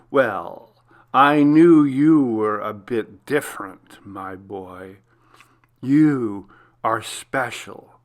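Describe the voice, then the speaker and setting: A man voicing a menacing, gloating serial killer in a horror story, taunting with slow, drawn-out mock affection. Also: medium pitch. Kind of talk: dramatic horror story reading.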